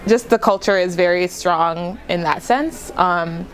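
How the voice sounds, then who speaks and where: A woman's voice: on the low side, a little slow, slight background noise, low-quality recording. A young woman student answering casually in an interview, sprinkling her talk with the filler "like". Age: young adult. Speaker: university student.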